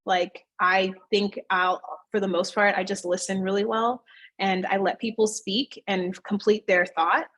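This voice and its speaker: A woman's voice: mid-range voice, a little slow. Chatty woman in her thirties. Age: 30s. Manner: chatty, animated, acts out quoted voices. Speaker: fitness studio owner, guest. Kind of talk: casual interview answers.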